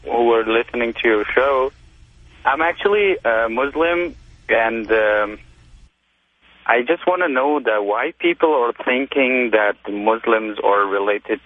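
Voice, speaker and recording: A man from Afghanistan with an Afghan accent, calling a radio show by phone and explaining himself earnestly and haltingly. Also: medium pitch, no room sound. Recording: muffled, narrow phone line.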